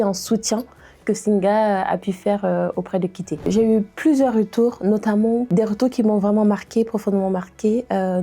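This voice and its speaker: A woman in her thirties from Côte d'Ivoire, a diversity and inclusion trainer, giving a testimony with frequent pauses and hesitations. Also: medium pitch, mellow. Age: 30s. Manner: hesitant, many pauses and "euh" fillers. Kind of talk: interview testimony to camera.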